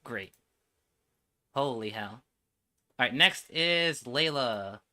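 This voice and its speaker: A man's voice: medium pitch, animated, slow pace, sharp tone. A man hosting a reaction video with blunt, casual, repetitive commentary. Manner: blunt, mildly profane, repeats himself.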